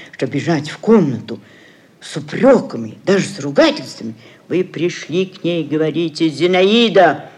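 A woman's voice: fairly low pitch, a little mellow, slightly rough. An actress playing a scheming, sugary middle-aged society mother in a classic radio play, cajoling and declaiming theatrically. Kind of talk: classic Russian literary radio play acting.

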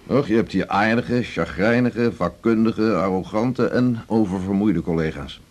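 Senior doctor and mentor, radio drama: low-pitched, brusque, teasing, middle-aged. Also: male voice, unhurried, darkish tone. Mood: blunt, wry. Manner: brusque, wry, bossy. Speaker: actor. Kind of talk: 1980s Dutch radio drama acting.

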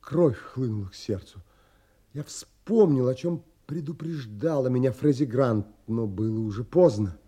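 An earnest, brooding romantic adventurer in an audio drama. His husky, mellow voice takes its time, pausing dramatically as he narrates and speaks. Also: mid-range voice, expressive. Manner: dramatic, measured, with pauses. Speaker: actor and narrator.

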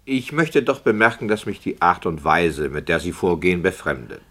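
A man's voice: low voice, big pitch swings, normal speed, sharp tone, exaggerated voice. A man playing a skeptical radio play director, formal, critical and precise, in scripted 1960s radio dialogue.

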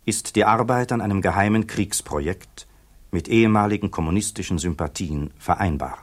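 A radio-play announcer. His voice is very low and husky. He reads scripted titles gravely. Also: normal speed. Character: grave male announcer of documentary scene titles. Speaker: narrator. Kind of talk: scripted radio drama narration.

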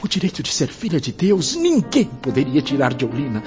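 Anguished, remorseful spirit of a man, lively pitch. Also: brisk. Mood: grave guilt. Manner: declamatory, impassioned, solemn. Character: anguished repentant male spirit, tormented murderer. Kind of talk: radio drama acting. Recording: dull lo-fi, music behind.

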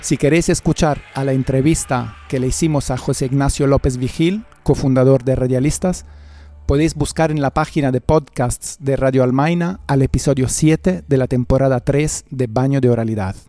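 A man's voice: mid-range voice, moderate pace, mellow, no echo. A man hosting a radio show, presenting a scripted introduction. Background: faint music.

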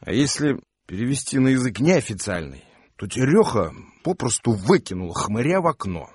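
A man's voice: mid-range voice, very animated, moderate pace, darkish tone, husky, no room sound, clean studio sound. A man narrating a story for a radio play in a dramatic, wry, colloquial way. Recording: music bed behind the voice.

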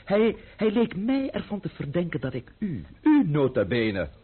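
An actor playing a proud, sardonic French count in an old-fashioned Dutch radio drama, speaking with ornate, ironic politeness. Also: male voice, on the high side, wildly swooping pitch, moderate pace, husky, narrow, tinny audio, exaggerated voice. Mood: urbane, exasperated.

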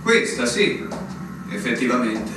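An actor playing a weary, formal army captain in a literary stage drama, with a theatrical, deliberate, musing delivery. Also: male voice, medium pitch, sing-song. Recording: echoey theatre, distant mic, noisy.

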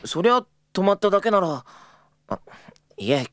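An actor playing an earnest, easily flustered young junior travel agent in a Japanese audio drama, polite and prone to stammering. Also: male voice, high-pitched, big pitch swings, normal speed, a bit bright. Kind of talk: Japanese audio drama acting with intimate narration.

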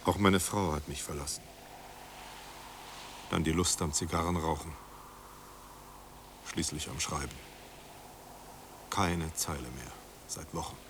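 An actor playing a noble, weary exiled writer in a radio drama, melancholy and devoted, speaking gravely and with measured resignation. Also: male voice, extremely low pitch, steady tone. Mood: resigned, sad. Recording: clear background ambience noise.

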